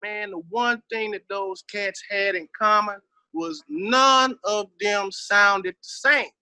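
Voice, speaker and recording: A middle-aged man with a Southern American accent and a forceful, bright voice pitched on the high side. He talks as a veteran guest reminiscing, streetwise and emphatic, swearing freely. The sound is close and dry. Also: takes it slowly, clean tone, dry recording. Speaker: interview guest, veteran rapper. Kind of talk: casual interview reminiscing.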